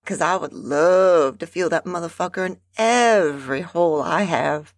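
A woman's voice: on the low side, normal speed, no room sound, clean studio sound, natural voice. A woman voicing a brash, flirty woman in an audience, speaking boldly and boastfully. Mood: brazen. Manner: bold, boastful and loud.

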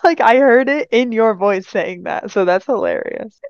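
A woman co-hosting a casual podcast chat, with a raspy voice and a blunt, swearing manner. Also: fairly high pitch, expressive, normal speed, dry recording, lo-fi sound. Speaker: podcast co-host.

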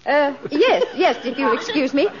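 Airy high-pitched society lady, old radio. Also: sing-song, moderate pace. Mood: airy, genteel. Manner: refined, affected. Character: genteel society lady, airy and politely droll. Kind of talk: 1940s radio comedy acting. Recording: noisy, narrow 1940s radio recording. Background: studio audience.

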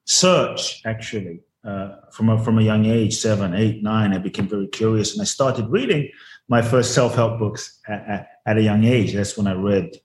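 A man's voice: lowish voice, moderate pace, roomy sound, a little hiss or hum. A man from Ghana, a spiritual teacher telling his life story earnestly in an interview over a video call, repeating words for emphasis.

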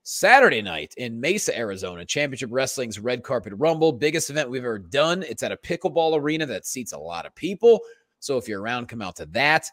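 A man's voice: medium pitch, big pitch swings, a little fast, fairly bright tone, no echo. A man co-hosting a comedy podcast with slangy, showman-like casual banter and plugs. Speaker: event announcer and performer.

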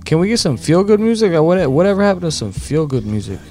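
A man's voice: fairly high pitch, very animated, fast talker, mellow, faint music behind. A man co-hosting a podcast, ranting bluntly and swearing freely in casual talk. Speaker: podcast co-host.

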